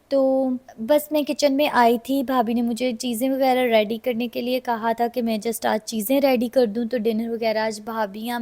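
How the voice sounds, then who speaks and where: A slightly hoarse woman with a Pakistani Urdu accent, chatting casually to viewers in a cooking vlog voice-over. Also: a little high, a little mellow. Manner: chatty, addresses viewers directly. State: slightly hoarse from a sore throat. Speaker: vlogger.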